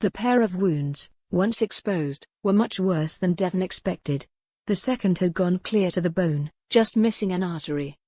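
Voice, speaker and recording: Woman audiobook narrator, lowish pitch, soft dark tone, dry close sound, historical romance storytelling. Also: normal speed, no room sound.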